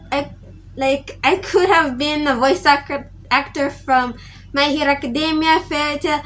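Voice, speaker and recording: A woman's voice: sits high, bright, forceful tone. A teenage girl talking casually to her viewers, rambling and often restarting her sentences. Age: teens. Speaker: vlogger. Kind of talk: casual vlog tribute.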